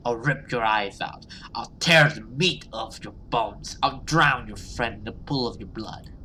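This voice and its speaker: A man's voice: mid-range voice, measured pace, sharp tone, slight background noise. A man voicing a menacing female demon from a horror game, commanding and threatening. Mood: menacing threats.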